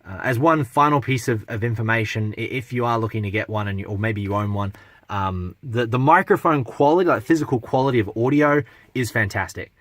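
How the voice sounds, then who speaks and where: A man's voice: mid-range voice, a little fast, a bit bright, no room sound. A man giving a casual, unscripted product review, blunt and matter-of-fact, with some hesitation as he talks.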